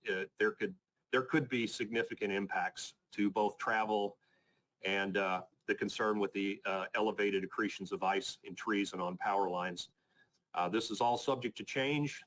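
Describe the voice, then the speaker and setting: A man presenting an informal technical weather briefing as a forecaster, speaking in a measured, matter-of-fact, plain-spoken way. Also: on the low side, a bit bright, rough recording. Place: conference call presentation.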